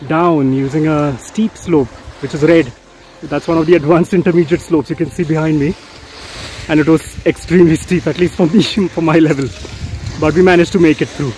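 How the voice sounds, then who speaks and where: A middle-aged man talks into a camera he holds at arm's length outdoors on a snowy slope. His voice is mellow and a bit high, and he pauses often with fillers. Faint music runs under the outdoor sound. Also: rough recording. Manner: hesitant, halting, with fillers. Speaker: travel vlogger. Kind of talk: casual on-camera commentary. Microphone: handheld camera at arm's length.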